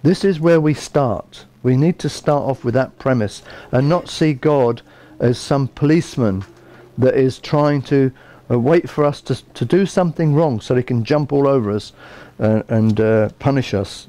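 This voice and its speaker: A man's voice: mid-range voice, warm tone. A man in his fifties with a British accent, preaching a sermon in an earnest, conversational way. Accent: British English. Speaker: bishop preaching. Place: small indoor room by a window.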